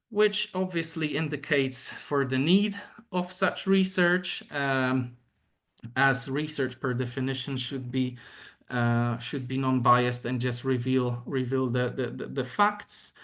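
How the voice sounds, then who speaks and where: A young man with a Polish accent, a native Polish speaker, giving an online academic seminar talk with many pauses, fillers and repeated words. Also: medium pitch, fairly bright tone. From Poland.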